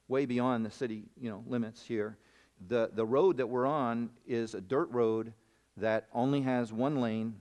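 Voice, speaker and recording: Male missionary speaker, middle pitch, rambling animated sermon storytelling. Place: camp meeting hall.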